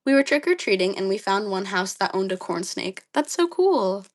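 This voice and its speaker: A woman's voice: medium pitch, big pitch swings, normal speed. A young woman with a slightly dry voice, chatting casually on a livestream, reading comments aloud with lots of ums and likes. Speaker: livestream host.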